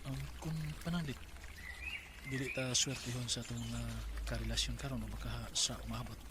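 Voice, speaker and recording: A man's voice: medium pitch, a little fast, natural voice. A man with a Filipino Cebuano accent acting an earnest, unlucky-in-love bachelor suitor in a radio drama. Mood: tender, hesitant. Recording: very noisy radio drama with ambience.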